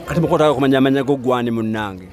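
A Ugandan man hosting street interviews in an open-air market, animated and bantering, often repeating himself. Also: medium pitch, very fast, a crowd in the background. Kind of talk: lively vox-pop presenting.

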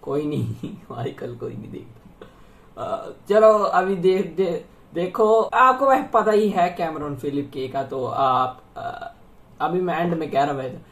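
Young man, from India, reaction host, very fast casual talk, echoey small room. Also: fairly high pitch, expressive, vocal fry, fairly bright tone. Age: young adult. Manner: chatty, laughing, thinking aloud.

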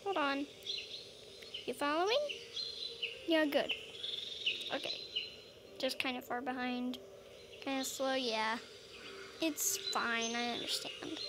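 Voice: high-pitched, slow, pauses often, very noisy. A child hosting a gaming video, chatting casually and playfully in character while playing. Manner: playful, talking to self in character. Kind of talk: casual role-play game commentary. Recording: music behind the voice. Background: game music.

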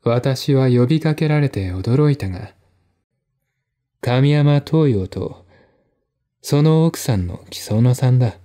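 A man narrates a mystery novel as a dramatic audiobook. His voice is mellow and medium in pitch, and he reads slowly, phrase by deliberate phrase. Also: expressive. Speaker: narrator and reader.

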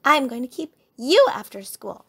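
A woman voicing a bossy, excitable little girl bear cub in a storybook, with emphatic, protesting delivery. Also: high-pitched, measured pace, pitched above the performer's own voice. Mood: indignant.

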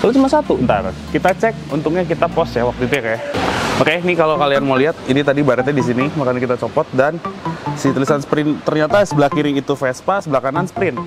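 A man's voice: on the high side, fast talker. A young man chatting casually to viewers while showing a scooter in a workshop. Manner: chatty, slangy, addresses viewers as guys. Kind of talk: casual walkaround vlog. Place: large indoor car workshop. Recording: loud background music over workshop.

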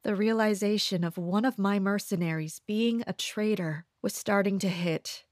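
A woman playing a guarded, distrustful commander of mercenaries in an audio drama, measured and introspective. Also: mid-range voice, darkish tone, no room sound, clean studio sound, close to an everyday voice. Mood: brooding. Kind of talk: audio drama acting with inner monologue.

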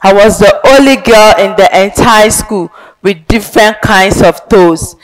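A young woman with a Ghanaian accent performing a theatrical advocacy monologue on a large indoor stage, emphatic and declamatory with sudden loud swells. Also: medium pitch, a little slow, soft background music, poor audio. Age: young adult. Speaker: pageant contestant.

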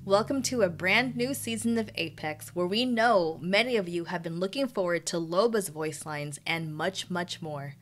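Lowish-voiced woman narrating. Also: moderate pace, hardly any pauses, slight background noise. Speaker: channel host. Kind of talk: scripted video intro and outro narration.

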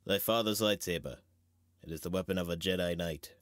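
A man playing a wise, grave old knight and mentor in a measured, theatrical Shakespearean reading. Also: deep voice, fairly bright tone, dry recording, clean studio sound. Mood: solemn, instructive.

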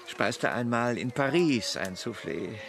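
An actor playing a crusty older English country gentleman in a German radio play, droll and given to lamenting understatement. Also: mid-range voice, expressive, takes it slowly, vocal fry, noisy background. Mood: droll, grumbling.

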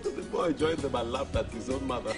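A man's voice: mid-range voice, brisk, loud music behind the voice, close to an everyday voice. A man playing a young, teasing husband in a film drama, with a Nigerian accent and an easygoing, explanatory way of talking. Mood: amused. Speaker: actor.

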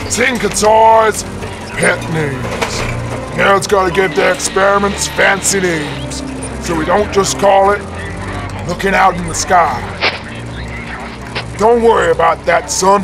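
A man's voice: high-pitched, slow, long pauses, over music. A man playing a blunt, dismissive father in a radio play. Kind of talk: amateur radio play acting.

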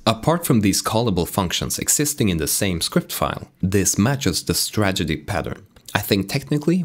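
Man, very deep voice, raspy and sick, lively intonation, calm code tutorial narration. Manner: calm explanatory walkthrough. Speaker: game dev tutorial host. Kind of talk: screen-recorded coding tutorial narration.

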